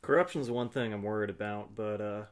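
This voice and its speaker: A man's voice: lowish voice, brisk. Joking man at a tabletop game. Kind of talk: casual role-play and table talk. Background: faint music.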